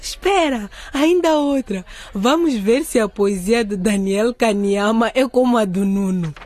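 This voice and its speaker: An actress playing an excitable, romantic teenage schoolgirl with a husky voice, in a radio drama. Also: on the high side, measured pace, no room sound. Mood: amazed, teasing.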